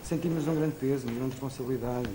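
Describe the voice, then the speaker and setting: A man's voice: medium pitch, fast. A man, a TV camera operator, answering interview questions earnestly and repeating his points. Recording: old VHS TV recording, faint hiss.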